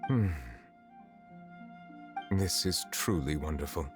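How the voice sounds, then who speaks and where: An actor playing a composed, dignified chief justice in a video game, speaking in a formal, measured, reserved way. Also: male voice, low voice. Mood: calm, resolute. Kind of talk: scripted video game voice acting. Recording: soft music behind the voice.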